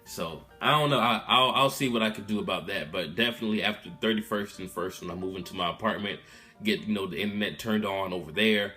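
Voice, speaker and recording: A man's voice: lowish voice, quick pace, faint music behind. Subdued rambling young man vlogging. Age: 20s. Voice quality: loud voice. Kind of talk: casual update vlog. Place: room at his mom's house.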